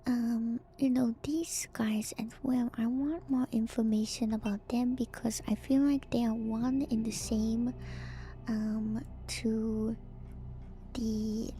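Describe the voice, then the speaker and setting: Woman, whispered ASMR game commentary, mellow, slow, soft and breathy, close-miked. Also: a little high. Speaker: streamer, host of a lore breakdown.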